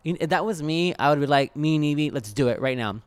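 A man's voice: on the high side, expressive, fast talker, clear, resonant tone, dry recording. A man chatting casually as a recap co-host, sassy and freely swearing, with slangy phrasing and bits of acted-out dialogue. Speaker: podcast co-host. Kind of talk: casual recap chat about a TV show.

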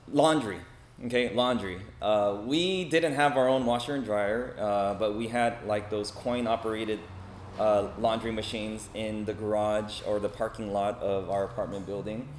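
A man in his forties with an American accent gives an informal, conversational talk to a group, sharing personal anecdotes and often checking in with short tags. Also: lowish voice. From Los Angeles, United States. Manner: conversational, frequent check-in tags like "okay?" and "right?". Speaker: speaker giving a talk. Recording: roomy echo, faint background noise.

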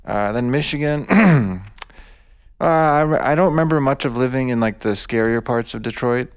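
A man born in Bosnia and raised in America, speaking softly and a little hesitantly as a guest in a casual chat. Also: mid-range voice, clean tone. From born in Bosnia, raised in the United States. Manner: soft-spoken, hesitant, quick short replies.

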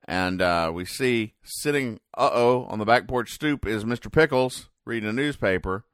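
A man hosting a podcast, recapping and explaining in a casual, deadpan, mock-serious way with halting, hesitant delivery. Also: medium pitch, smooth, dry recording, clean studio sound. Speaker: podcast host. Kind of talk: casual comic-strip recap commentary.

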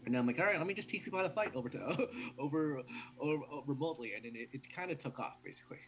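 A young man from New York City, a martial arts instructor and guest, talking in quick run-on sentences with restarts, laughs and blunt swearing. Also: a little high, lively intonation, fairly bright tone, slight background noise, poor audio. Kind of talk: casual interview.

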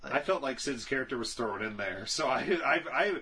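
A man's voice: mid-range voice, expressive, normal speed, sharp tone. A man co-hosting a podcast, bantering casually with a dry, teasing sense of humour. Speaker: podcast co-host. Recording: clear background noise and room ambience.

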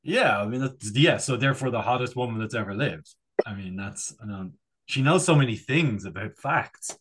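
Animated rambling man bantering. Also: mid-range voice, normal speed, slightly rough. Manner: rambling, digressive, jokey. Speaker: podcast co-host. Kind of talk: casual comedy banter.

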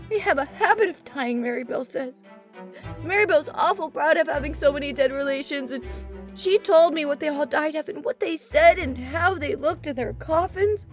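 A woman's voice: extremely high pitch, voice pushed up. A woman voicing a frightened six-year-old girl who is crying and stammering. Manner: stammering, tearful. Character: frightened little girl, about six, sobbing.

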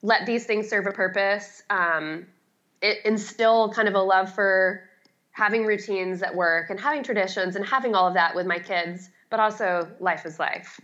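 A woman's voice: medium pitch, fairly even intonation, normal speed, fairly bright tone, highs cut off. A middle-aged woman talking casually as an expert guest in an interview, with a chatty, emphatic, self-deprecating way of explaining. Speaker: guest, home organizing expert.

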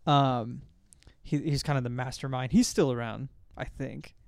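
A man's voice: mid-range voice, fairly even intonation, normal speed, creaky, dry recording, clean studio sound. A man hosting a casual film discussion, rambling and often correcting himself as he recalls details. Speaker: podcast host.

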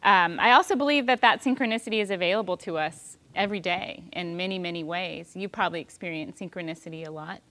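A woman in her thirties giving a calm, thoughtful spiritual talk on prayer, with soft pauses. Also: on the low side.

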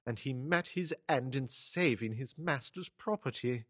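A man's voice: medium pitch, a little slow, no room sound, studio quality, close to an everyday voice. A man reading an audiobook voices a flustered, deferential butler bringing urgent news.

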